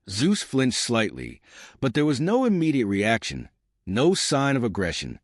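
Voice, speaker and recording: A man's voice: fairly low pitch, no room sound, clean studio sound. A man reading scripted suspense fiction as an audiobook narrator.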